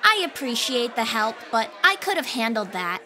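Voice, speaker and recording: A woman's voice: a little high, big pitch swings, moderate pace, bright, forceful tone, a faint crowd. A woman voicing a bold, fearless young girl in an audiobook, eager and blunt. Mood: eager, cheeky. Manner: quipping, bold. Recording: music bed behind.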